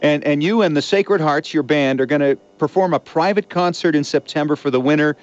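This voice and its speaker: A man with a fairly high voice hosts a radio show. He talks in an informal interviewing style, mixing conversation with station plugs. The audio is a somewhat muffled, lo-fi aircheck. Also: fairly quick. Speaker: radio host.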